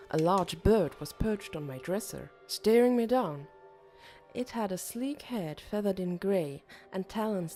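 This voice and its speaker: A woman narrating an audiobook as a pensive, uneasy teenage boy, in a measured, introspective way. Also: mid-range voice, mellow.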